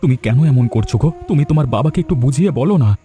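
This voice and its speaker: Cartoon lovelorn youth, male actor: quick, mellow, melodramatic, pleading yet determined. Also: mid-range voice, lively intonation, soft background music, close to an everyday voice. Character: heartbroken young man in love, pleading and determined. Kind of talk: Bengali cartoon story acting.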